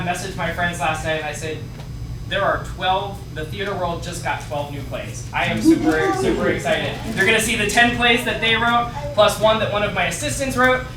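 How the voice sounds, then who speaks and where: A middle-aged man, a theater teacher, talking informally and a little haltingly to a live audience. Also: high-pitched. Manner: conversational, hesitant with frequent fillers. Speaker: theater director and workshop teacher. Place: small indoor theater stage. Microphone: distant camera microphone. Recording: echoey theater, far from mic, noisy.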